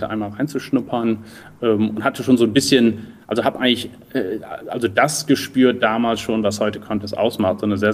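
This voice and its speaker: Low-voiced man from Germany, thoughtful and hesitant. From Düsseldorf, Rhineland, Germany. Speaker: interview guest, entrepreneur. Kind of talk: conversational interview answers.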